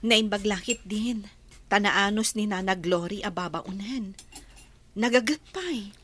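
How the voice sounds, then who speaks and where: A woman's voice: mid-range voice, fairly bright tone. A woman acting as a kindly, appreciative lady of the house in an Ilocano radio drama, with an Ilocano accent. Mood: appreciative, concerned.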